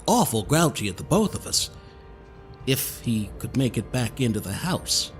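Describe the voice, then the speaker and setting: A man playing a smooth-talking, mock-polite con man villain in an audio drama, wordy and falsely kindly. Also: mid-range voice, big pitch swings, moderate pace, faint music behind, lightly acted. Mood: smugly menacing. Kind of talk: scripted audio drama acting.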